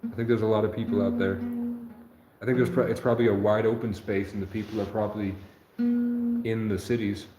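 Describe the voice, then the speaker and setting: A man's voice: fairly low pitch, lively intonation, a little fast, long pauses, mellow, faint music behind. A man in his twenties rambling earnestly on a live stream, often stopping to correct himself. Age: 20s. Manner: meandering, self-correcting, earnest. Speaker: livestreamer. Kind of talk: rambling livestream monologue. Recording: echoey room with faint noise.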